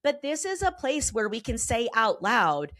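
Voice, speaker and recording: A slightly hoarse woman in her forties, a therapist hosting her own podcast, talking in a candid, emphatic way with casual swearing. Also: on the high side, sing-song, measured pace, fairly bright tone, dry recording, studio quality. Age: 40s. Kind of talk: personal podcast monologue. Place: Colorado.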